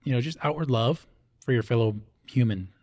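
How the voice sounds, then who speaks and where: A man's voice: medium pitch, brisk, darkish tone. A middle-aged American man from Hawaii hosting a casual interview in a laid-back, colloquial way with joking asides. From Hawaii, United States. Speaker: interview host.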